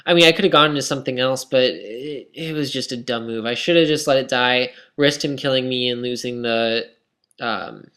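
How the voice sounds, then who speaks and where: A man's voice: mid-range voice, normal speed, fairly bright tone. Young man, scratchy tired voice, casual game commentary, rambling, self-critical, lots of ums. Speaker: gaming commentator.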